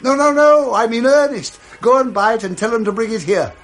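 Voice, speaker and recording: An actor playing a joyful, newly reformed elderly Englishman in a 1960s radio drama, excitable and effusive. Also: extremely high pitch, brisk, soft background music, exaggerated voice. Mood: eager. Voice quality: excitable, chuckling. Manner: exclamatory, effusive.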